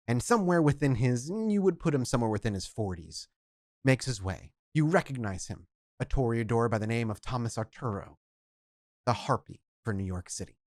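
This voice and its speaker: A man narrating as game master of a tabletop game, describing scenes in a steady, detailed way. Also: medium pitch, no echo, studio quality.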